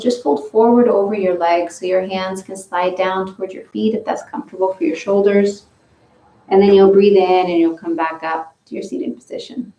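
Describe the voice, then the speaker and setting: A woman leading a guided breathing and stretching session, giving slow, gentle step-by-step instructions. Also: medium pitch, far from the mic. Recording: roomy, from away from the mic.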